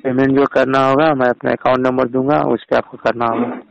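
A man's voice: medium pitch, level tone, a little fast, gravelly, no room sound. A man on a recorded phone call, insistently persuading like an official and mixing in English words. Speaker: phone caller posing as an official. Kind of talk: persuasive sales-like phone pitch.

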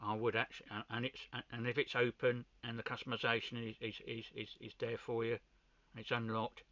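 A man's voice: medium pitch, completely flat delivery, a little fast, pushed and bright, no room sound. A man giving a casual, unscripted equipment walkthrough as a hobbyist, often hesitating and repeating his words. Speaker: amateur radio hobbyist presenting a demo. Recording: dull, lo-fi sound.

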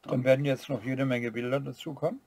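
A man's voice: medium pitch, steady tone, a little fast, gravelly, a little mellow. A man co-hosting a building-set review, adding short remarks and joking asides in a casual chat. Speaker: co-host of a brick-building review channel.

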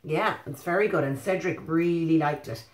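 A woman's voice: deep voice, normal speed. An Irish woman in her thirties reading a children's story expressively, with simple questioning asides to young listeners. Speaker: preschool storyteller. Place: living room, indoors. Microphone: phone or webcam at about arm's length. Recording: echoey living room.

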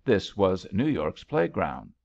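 A man narrates an old-fashioned love story as an audiobook. His voice is on the low side with plenty of creak, and his pitch moves in a lively way. The recording is slightly dull and lo-fi. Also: slow. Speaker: audiobook reader.

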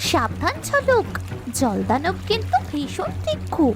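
A woman's voice: extremely high pitch, huge pitch swings, unhurried, over music, heavily acted. A woman voicing a brave human child in a fairy-tale cartoon, speaking in an earnest, urgent way. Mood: cautioning.

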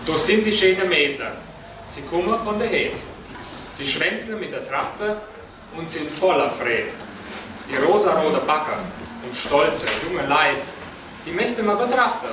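A man's voice: fairly high pitch, animated, unhurried. A young man with a Banat Swabian accent reciting a rhyming dialect poem at a lectern, recorded from far off in a large hall. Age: young adult. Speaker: poetry reciter. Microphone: far camera. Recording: roomy hall from far off, noise.

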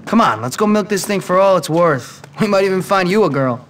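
A man's voice: sits high, lively intonation, brisk, a little hiss or hum. A man playing a brash, swaggering teenage schoolboy in a video game, with slangy delivery. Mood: cocky. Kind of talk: video game cutscene acting.

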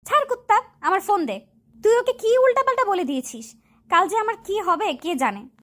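A feisty college girl from a romantic audio story , played by a woman. Her voice is extremely high and swoops about as she bosses and scolds. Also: lively intonation, a little fast, a bit bright. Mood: indignant. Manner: bossy, scolding. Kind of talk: acted dialogue in an audio love story.

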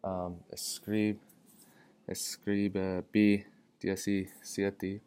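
An eighteen-year-old American man, a native English speaker, giving a halting self-introduction in learner's Spanish and searching for words. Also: low-pitched, flat delivery, a little mellow, clear, resonant tone. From Atlanta, United States. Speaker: college student. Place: indoor room with tall windows. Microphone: webcam framing with wired earphones.

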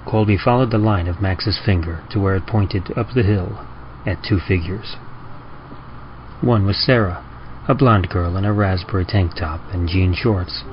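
A man giving a dramatic scripted reading of horror, measured and deliberate, with pauses for effect. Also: deep voice. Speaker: narrator of horror stories. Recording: muffled audio with clear background noise.

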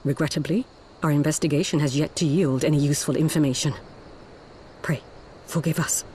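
A woman's voice: deep voice, steady tone, a little fast, a little mellow, slight background noise, lightly acted. Actress as composed, formal female ninja. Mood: respectful. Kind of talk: dramatic video game acting.

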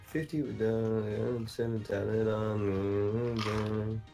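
A man's voice: on the low side, fairly even intonation, drawn-out words, vocal fry, mellow, faint music behind. A young adult man chatting casually as a player in a gaming video.

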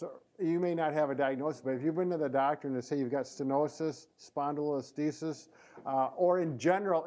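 Higher-pitched middle-aged American man explaining. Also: a little mellow. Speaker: physical therapist presenter. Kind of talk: casual instructional demonstration. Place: indoor therapy room.

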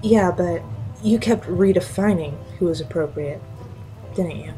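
A woman's voice: lowish voice, a little slow, mellow, soft background music, lightly acted, rough recording. An actress playing a level-headed, blunt woman in a naturalistic audio drama. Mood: firm, reproving.

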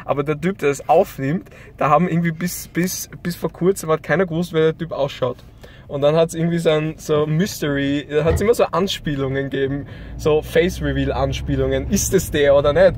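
A man's voice: a little high, steady tone, a little fast. A young man with an Austrian accent, chatting casually in a moving car, rambling and joking with mock complaints. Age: young adult. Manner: self-mocking, joking complaints. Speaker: vlogger filming from the passenger seat. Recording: loud car and road noise. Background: engine and traffic noise.

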